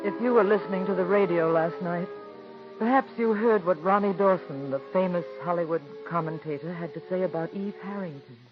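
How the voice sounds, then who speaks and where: A woman's voice: fairly low pitch, brisk, lightly acted. An actress playing a worldly, kindly playwright's wife who narrates her story in a 1940s radio drama. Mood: wistful, reflective. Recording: dull old radio recording with music.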